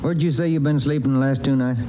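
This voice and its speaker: An actor playing a police detective's partner in a 1950s radio crime drama, putting pointed questions in a dry, needling manner. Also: male voice, mid-range voice, fairly even intonation, fast, lightly acted. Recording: muffled, noisy old radio recording.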